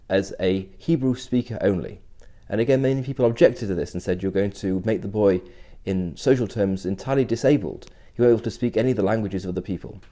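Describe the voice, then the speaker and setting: Middle aged rabbi guy explaining history, calm and measured, warm low voice. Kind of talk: short history talk to camera.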